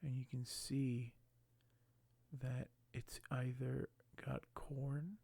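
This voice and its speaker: Hushed ASMR man, gravelly and slow. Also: medium pitch, little pitch movement, no room sound. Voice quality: hushed, soft, often whispered. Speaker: ASMR video creator. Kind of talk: ASMR unboxing and reading aloud. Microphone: close microphone.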